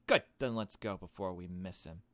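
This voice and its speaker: A man voicing a brisk, bossy young female amateur detective from a visual novel, in a curt, businesslike way. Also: low-pitched, lightly acted. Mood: serious, determined.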